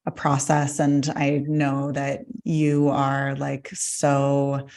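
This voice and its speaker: A woman with a notably low-pitched voice hosts a webinar interview over a video call. She speaks gently and encouragingly, with small hesitations. She often murmurs soft "mm"s of agreement. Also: completely flat delivery, drawn-out words, vocal fry. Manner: gentle, affirming, many soft "mm" responses. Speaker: host, licensed midwife. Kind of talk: interview host chat.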